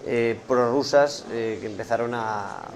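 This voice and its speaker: A man's voice: mid-range voice, a little slow. A man giving a matter-of-fact documentary interview.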